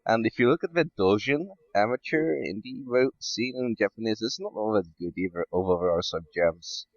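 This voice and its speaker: Man from Sweden, podcast guest, low voice, close dry sound, slightly lo-fi, conversational. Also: normal speed, dry recording. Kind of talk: casual panel chat.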